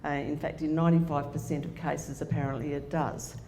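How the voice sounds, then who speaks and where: A woman's voice: deep voice, little pitch movement, brisk, warm tone. A woman in her sixties, telling a candid personal story as a conference speaker. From Australia. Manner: plain-spoken, candid storyteller. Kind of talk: personal story talk to an audience. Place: conference room. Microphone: handheld microphone. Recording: echoey hall with faint noise.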